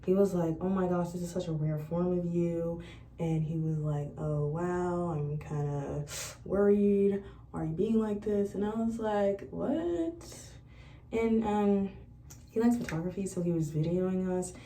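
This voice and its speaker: A woman's voice: lowish voice, measured pace. A young woman in college vlogging, chatting casually about her life and acting out past conversations. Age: young adult. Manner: chatty, animated retelling of conversations. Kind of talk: casual storytelling to camera. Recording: echoey room, voice a bit far from mic.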